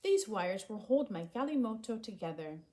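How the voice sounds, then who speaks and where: A woman's voice: medium pitch, big pitch swings, moderate pace, mellow, pitched above the performer's own voice, natural voice. A woman voicing an eager, resourceful young village boy in a storybook, earnest and explaining. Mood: hopeful planning.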